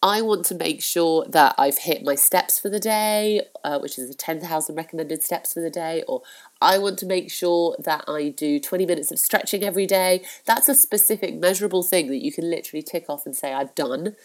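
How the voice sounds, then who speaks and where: A woman's voice: on the low side, brisk. A woman hosting a solo podcast in a chatty, encouraging way, with a blocked nose from a cold and frequent fillers. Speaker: podcast host. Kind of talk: casual solo podcast talk.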